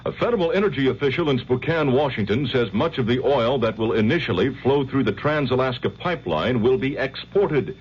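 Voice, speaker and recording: A man anchoring an hourly radio newscast, reading in a crisp, measured news delivery. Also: medium pitch. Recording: dull old radio broadcast with faint hiss.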